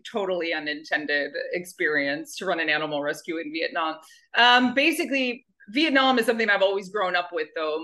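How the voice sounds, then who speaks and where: A woman's voice: on the low side, bright, forceful tone. A woman guest who founded an animal rescue, chatting casually in a rambling, self-deprecating way. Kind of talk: casual interview chat.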